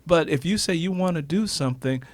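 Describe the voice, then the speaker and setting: A man's voice: a little high, animated, a little fast, no echo. A man speaking as a radio interview guest in a motivational style, emphatic and repeating phrases for effect. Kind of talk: motivational talk on a radio show.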